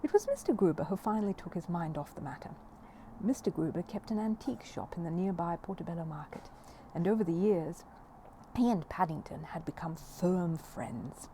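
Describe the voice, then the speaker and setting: Mellow low-voiced woman reading a children's story. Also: expressive, normal speed, slight background noise, rough recording. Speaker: audiobook reader. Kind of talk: expressive children's story narration.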